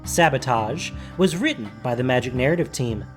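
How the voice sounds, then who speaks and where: An audio drama narrator with a mid-pitched voice reads scripted prose. Soft music runs faintly behind the words. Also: animated, normal speed.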